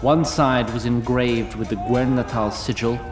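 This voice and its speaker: A man's voice: mid-range voice, steady tone, a little slow, over music, lightly acted. A man playing the storyteller of a fantasy epic, narrating a script in a wry, literary and formal manner. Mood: measured, dry. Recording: echoey with long reverb.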